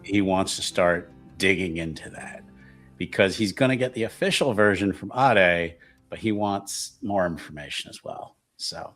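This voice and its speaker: A man's voice: sits low, moderate pace, fairly bright tone, faint music behind. A man chatting casually as a player in a tabletop roleplaying game, thinking aloud in a hesitant way.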